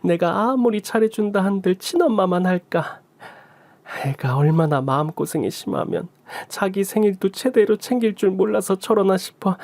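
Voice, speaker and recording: A man's voice: high voice, no echo, voice pushed up. A man voicing an emotional older mother-in-law who sighs, scolds and pleads in a story drama reading. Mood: scolding.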